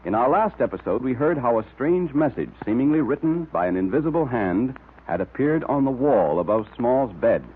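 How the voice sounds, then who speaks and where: A man announcing in vintage radio style, emphatic and salesmanlike. His delivery is very animated, with a rough, husky edge. The recording is tinny and band-limited. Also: mid-range voice, moderate pace. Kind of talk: 1940s radio advertising and scripted narration.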